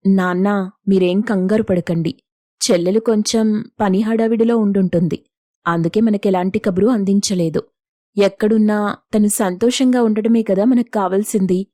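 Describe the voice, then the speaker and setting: A woman narrator voicing a gentle, self-sacrificing young elder sister in a moral story, soft and respectful. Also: medium pitch, fairly even intonation, moderate pace, no room sound, studio quality, lightly acted. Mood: reassuring.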